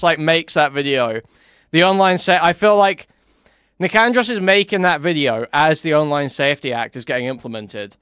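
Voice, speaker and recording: Guy doing reaction commentary, kinda high smooth voice, rambly, dead dry sound. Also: fairly bright tone, no room sound. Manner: loose and rambling, with fillers and laughter. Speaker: commentary host.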